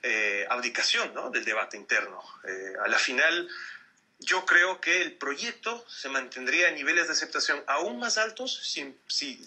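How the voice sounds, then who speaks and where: A man's voice: a little high, bright, forceful tone. A man from Italy giving political analysis as a radio interview guest over a Skype call, searching for words and repeating himself. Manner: halting, careful word-searching with repetitions.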